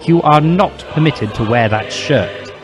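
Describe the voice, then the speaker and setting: A man's voice: a little high, animated, unhurried, over music, pitched above the performer's own voice. A man voicing a stern, smug female school professor in a comic dramatic reading. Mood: stern, scolding.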